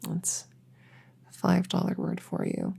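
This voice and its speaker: A hushed, breathy witch vet, played by a woman, talks slowly and softly in an ASMR audio roleplay. Her low voice creaks as she gently reassures and teases, chatty and a little goofy, often slipping toward a whisper. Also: huge pitch swings, exaggerated voice. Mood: playful, reassuring. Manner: gentle, chatty, halting, teasing.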